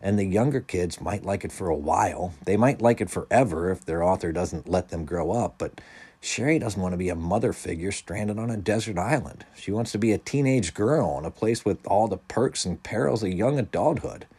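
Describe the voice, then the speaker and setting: A man's voice: low-pitched, moderate pace, mellow, slightly rough. A man voicing a perceptive, patient young storybook friend who explains things in plain, blunt terms.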